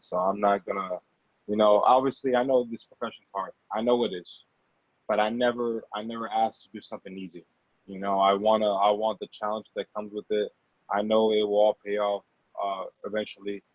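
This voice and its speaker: A man's voice: on the low side, level tone, a little fast, dry recording. A man speaking as a podcast guest in casual interview talk, earnest and hesitant, often repeating his words. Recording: slightly dull, dry lo-fi audio.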